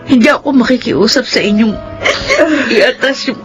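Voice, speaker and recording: A woman's voice: fairly high pitch, moderate pace, natural voice. An actress playing the ashamed, sorrowful wife of an accused man in a Filipino drama, earnest and tearful, under music. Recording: dull audio under loud music.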